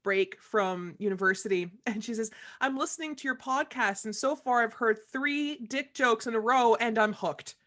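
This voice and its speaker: A woman voice actor chatting casually, playful and quick to quip. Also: on the high side, a bit bright. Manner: playful, quipping. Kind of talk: casual chat.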